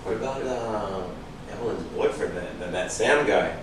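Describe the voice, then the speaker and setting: A man playing a wry, flirtatious investigator in a staged reading, conversational with hesitant pauses. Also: on the low side, close to an everyday voice. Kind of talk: stage acting at a script reading. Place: large room, staged reading recorded from afar. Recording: echoey room, far from mic, noisy.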